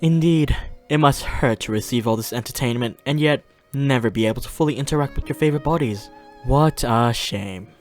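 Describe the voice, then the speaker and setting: A woman's voice: a bass voice, fairly quick, soft background music. A woman playing a haughty demon mistress in an audio drama, teasing with mocking, cruel politeness. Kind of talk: fiction podcast audio drama acting.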